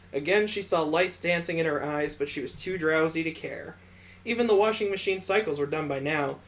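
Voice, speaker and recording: Male let's play host, higher register, expressive story reading broken by sarcastic asides. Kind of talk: visual novel read-aloud with joking asides.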